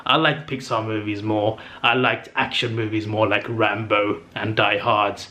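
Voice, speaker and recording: A man's voice: on the low side, level tone, measured pace, sharp tone. Chatty young man ranking movies. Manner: chatty and enthusiastic, with frequent fillers and self-corrections. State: losing his voice. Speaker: movie review channel host. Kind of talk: casual ranking monologue to camera.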